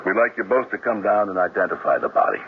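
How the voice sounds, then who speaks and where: An actor playing a matter-of-fact homicide sergeant in a radio drama, speaking in a brisk, businesslike, polite way. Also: male voice, on the low side, lively intonation, close to an everyday voice. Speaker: police sergeant. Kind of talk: 1970s radio drama acting. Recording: dull old radio broadcast with clear hiss.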